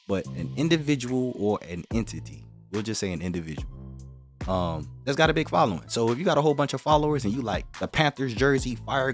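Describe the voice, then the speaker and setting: Deep-voiced man hosting a casual livestream. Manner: relaxed, direct, slangy, explaining points at length. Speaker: livestream host. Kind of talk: casual livestream talk to viewers.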